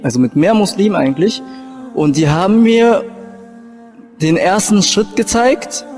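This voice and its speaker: A young man from Japan speaks in a slightly high-pitched voice over background music. He tells his personal story in an informal way, pausing and restarting as he searches for his words. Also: darkish tone. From Japan and Germany. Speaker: convert telling his story.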